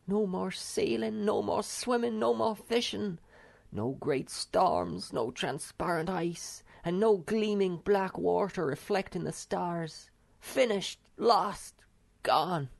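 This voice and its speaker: A man voicing a gentle young male wanderer in a storybook reading, given a high, lamenting voice. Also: wildly swooping pitch, slow, gravelly, slightly rough, full character voice. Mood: mournful. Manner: mournful, lamenting.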